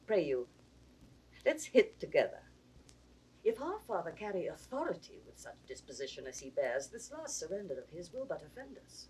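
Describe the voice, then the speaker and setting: A woman playing a cold, calculating princess in classical Shakespearean style, husky and breathy, urgent and conspiratorial. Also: lowish voice, sing-song, normal speed, darkish tone, slight background noise, poor audio. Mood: cold, plotting. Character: cold, calculating princess plotting against her aged father. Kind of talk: classical Shakespearean stage acting.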